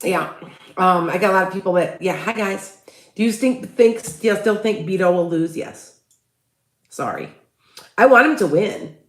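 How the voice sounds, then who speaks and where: A woman's voice: on the low side, animated, moderate pace, long pauses, reverberant. A woman with a Chicago accent, hosting a casual livestream and talking in loose, rambling sentences full of restarts. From Midwest US. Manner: rambling, many restarts, asks viewers for tips. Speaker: psychic livestream host.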